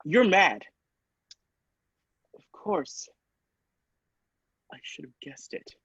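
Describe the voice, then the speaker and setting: A woman's voice: on the low side, sing-song, extremely slow, husky, no echo, dull audio, heavily acted. A woman playing an alarmed but defiant doctor in a classic radio play, speaking with dramatic emphasis. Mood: stern, shocked. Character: alarmed, defiant doctor facing a murderous robot.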